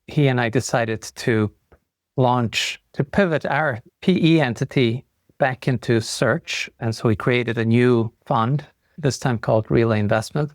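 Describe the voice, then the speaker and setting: A man's voice: mid-range voice, dry recording. Enthusiastic man from Europe, dry close sound. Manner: fluent, enthusiastic storytelling with occasional fillers. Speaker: podcast guest, investor. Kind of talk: conversational interview answers.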